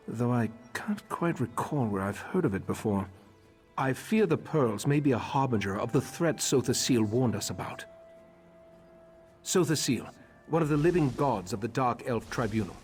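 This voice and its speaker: An actor playing a grave, courteous leader of a mystic order in a fantasy video game, speaking formally and with measured dignity. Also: male voice, medium pitch, close to an everyday voice. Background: background music.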